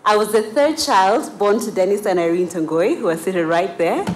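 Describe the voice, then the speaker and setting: A woman's voice: medium pitch, lively intonation, moderate pace, roomy sound, heavy background noise. An eighteen-year-old woman from Kenya whose first language is Kiswahili, giving an earnest prepared speech at a gala dinner, with frequent uh fillers. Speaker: student speaker. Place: large banquet hall at a gala dinner.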